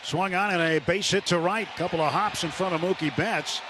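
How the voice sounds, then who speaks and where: Higher-voiced American baseball announcer, noisy ballpark. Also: male voice, a little fast. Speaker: baseball play-by-play radio announcer. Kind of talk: live sports commentary. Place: stadium broadcast booth. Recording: noisy ballpark radio broadcast.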